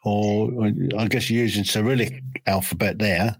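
A man's voice: on the low side, steady tone, moderate pace, slightly rough, no room sound, poor audio. Hesitant man asking questions. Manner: hesitant, often repeats words while forming questions. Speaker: interviewer. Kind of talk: casual conversational interview.

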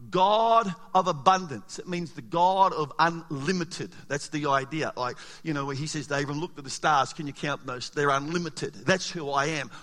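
A man preaching a sermon as pastor, animated and emphatic, asking the congregation rhetorical questions, his voice a little raspy. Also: on the high side. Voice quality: slightly raspy. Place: church hall. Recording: long hall reverb.

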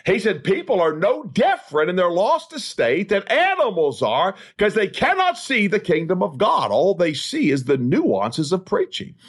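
A man's voice: sits high, wildly swooping pitch, normal speed, hardly any pauses, slightly rough. A man preaching an expository sermon as an evangelist, emphatic, with repeated rhetorical phrases. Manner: emphatic, repetitive rhetorical cadence. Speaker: evangelist preacher, podcast host.

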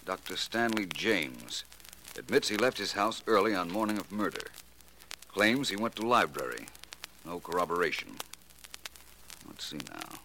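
A self-assured radio detective with a low, forceful voice. His delivery is polished and wry, with big swings of intonation. The old recording has audible noise and clicks. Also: very animated, moderate pace, long pauses, heavily acted, low-quality recording. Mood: musing. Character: urbane, confident male private detective. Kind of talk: 1940s radio acting.

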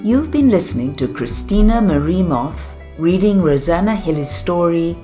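A woman's voice: lowish voice, expressive, normal speed. A woman presenting as a radio show host in a scripted announcing style. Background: faint music.

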